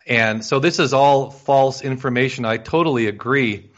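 A man's voice: mid-range voice, normal speed. An agreeable man hosting a casual conversation, throwing in jokes and asking questions. The sound is dull and lo-fi. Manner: agreeable, joking. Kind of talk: casual chat. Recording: muffled lo-fi audio.

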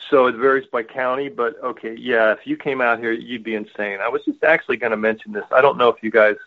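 A man's voice: mid-range voice, steady tone, fast talker. Rambling man on a phone line. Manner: rambling, wordy. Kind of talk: casual chat. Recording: tinny phone-line audio.

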